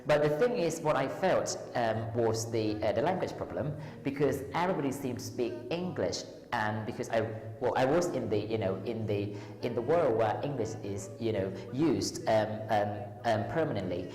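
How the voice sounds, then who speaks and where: Very low-voiced Thai woman in an echoey hall. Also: animated, moderate pace, a little mellow. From Thailand. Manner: hesitant, many ums and restarts. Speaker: lecturer and tutor, runs a tutoring school. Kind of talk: interview answers. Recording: echoey hall with long reverb.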